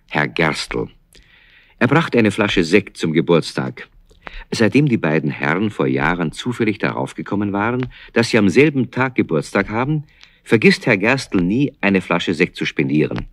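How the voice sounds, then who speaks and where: A man's voice: sits low, big pitch swings, unhurried, dry recording, clean studio sound. A man narrating a children's audio play as a storyteller, in scripted storybook style.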